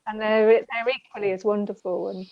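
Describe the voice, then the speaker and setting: A woman's voice: medium pitch, fairly even intonation, moderate pace, creaky, no room sound, dull audio. A woman co-hosting a casual spiritual chat, explaining at length with many restarts. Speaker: co-host.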